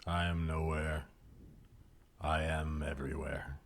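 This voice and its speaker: A man playing an earnest, literal-minded seeker in improvised comedy, speaking in a halting, deadpan way. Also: extremely low pitch, gravelly, heavily acted. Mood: puzzled, deadpan.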